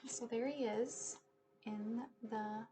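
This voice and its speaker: A woman's voice: a little high, fairly even intonation, slow pace, warm tone, faint music behind. A woman in her thirties reading a children's book aloud and explaining it to young listeners at home. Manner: addresses listeners as friends, explanatory. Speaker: teacher-style read-aloud host. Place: small living room or home office, indoors.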